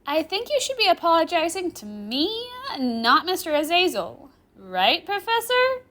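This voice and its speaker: A woman's voice: extremely high pitch, very animated, normal speed, bright, forceful tone, long reverb tail, pitched above the performer's own voice, heavily acted. A woman voicing a smug, teasing, defiant demon schoolgirl in a cheerful, taunting way for a story.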